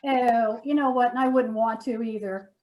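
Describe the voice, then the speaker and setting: A woman's voice: fairly high pitch, fairly even intonation, normal speed, a little mellow, lightly acted. A woman playing a nagging, self-righteous wife in a comedy sketch, dramatic and exasperated, often repeating herself. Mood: offended. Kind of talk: readers theater comedy sketch.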